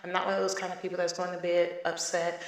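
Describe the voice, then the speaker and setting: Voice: mid-range voice, completely flat delivery, quick pace. A podcast host in their thirties, talking casually and emphatically in a solo monologue. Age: 30s. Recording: echoey room with long reverb.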